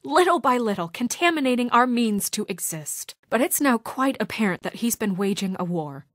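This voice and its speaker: A woman's voice: fairly high pitch, expressive, normal speed, a bit bright, no room sound, studio quality. A woman playing a principled young deputy mayor in a story video game, speaking with earnest, firm exasperation in naturalistic dramatic dialogue. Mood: grave, intent.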